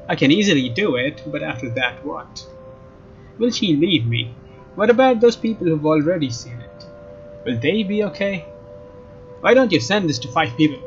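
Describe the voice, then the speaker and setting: A man with a fairly high voice reads a visual novel aloud as a let's player. The sound is slightly dull, and guitar game music plays clearly behind him. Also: fairly bright tone. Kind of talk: reading visual novel narration aloud, expressive.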